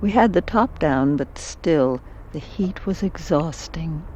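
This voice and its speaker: An actress playing a reflective forty-one-year-old American wife and mother, narrating and speaking in a radio drama with measured delivery. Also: sits low, lively intonation, mellow, slight background noise, rough recording. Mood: reflective, weary.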